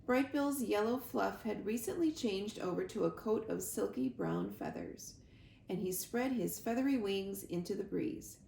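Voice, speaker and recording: A woman reading a children's storybook aloud with expressive narration. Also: medium pitch. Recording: roomy echo, clear background noise.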